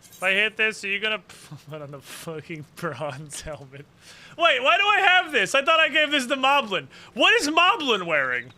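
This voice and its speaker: A man's voice: high voice, huge pitch swings, normal speed, bright, forceful tone. A man giving casual live commentary as he plays a game, muttering to himself and swearing freely. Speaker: game streamer.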